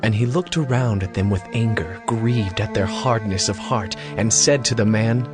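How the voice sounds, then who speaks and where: A man narrating scripture as a solemn story, with clear phrasing, in a dramatized audio reading. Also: fairly low pitch, normal speed. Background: music.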